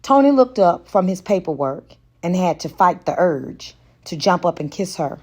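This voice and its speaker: A woman reading romance fiction aloud as an audiobook narrator, in a deliberate and expressive way. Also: lowish voice, husky. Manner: deliberate, acts out dialogue. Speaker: audiobook reader.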